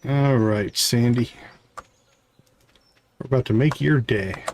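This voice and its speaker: A man's voice: medium pitch, slow pace, a little mellow, a little hiss or hum. A man giving casual live commentary on a game he is playing, chatting to the characters as he goes. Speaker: game streamer. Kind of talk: live gameplay commentary, reading game dialogue aloud.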